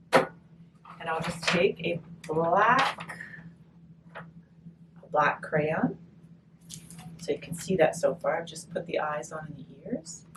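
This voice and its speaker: A woman giving a casual step-by-step craft demonstration, chatty and encouraging, thinking aloud as she works, recorded on a distant mic. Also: low voice. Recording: distant mic, noisy room ambience.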